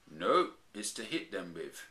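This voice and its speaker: A man voicing a calm, matter-of-fact father bear in a comic storybook reading. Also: sits low, takes it slowly, echoey room, exaggerated voice. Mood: dry.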